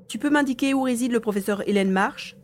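A woman playing a wary, grumbling sidekick investigator in a science fiction audio drama, with an expressive, questioning delivery. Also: a little high, fairly quick, slight background noise, natural voice. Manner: expressive, questioning, self-talking. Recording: echoey room sound.

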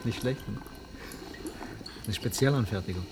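A man's voice: lowish voice, moderate pace, background noise, natural voice. An actor playing an absent-minded, obsessive and evasive male researcher in a radio drama, hesitant and easily riled. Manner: distracted, hesitant, flaring up arrogantly. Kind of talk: 1980s radio drama acting.

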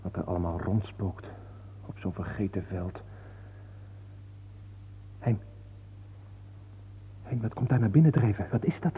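An actor playing a troubled, easily appalled companion, asking earnest, uneasy questions in a radio play. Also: male voice, lowish voice, expressive, measured pace, pauses often. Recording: noisy, tinny phone-like old radio recording.

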